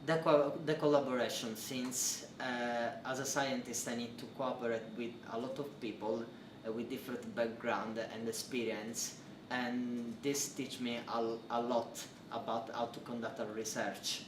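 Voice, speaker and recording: A man's voice: mid-range voice, flat delivery, a little slow. A young Italian man with an Italian accent, speaking English as a second language and answering interview questions with hesitant fillers. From Italy. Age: young adult. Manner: hesitant, many fillers and restarts, non-native phrasing. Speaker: interviewee, PhD researcher. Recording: roomy, distant mic, faint noise.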